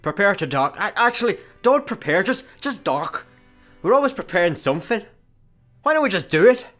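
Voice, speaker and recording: Touchy Scottish space busker, sardonic, very animated delivery, radio sitcom. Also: male voice, sits high, moderate pace, soft background music. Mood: exasperated. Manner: irritable, deadpan sarcasm. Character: grumpy, sarcastic, easily wounded young space busker.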